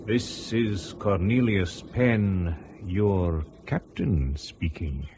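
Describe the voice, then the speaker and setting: An actor playing a tactful, reluctant airline official in a radio drama, speaking haltingly as he breaks bad news. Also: sits low, animated, a little mellow, exaggerated voice. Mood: earnest. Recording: noisy radio drama with engine ambience.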